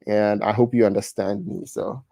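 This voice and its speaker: A man's voice: fairly low pitch, steady tone, normal speed, vocal fry, dark tone, no room sound. A man in his thirties from Ghana with a Ghanaian accent, chatting casually on a livestream and reading out viewer comments, often restarting and repeating words. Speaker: livestream host.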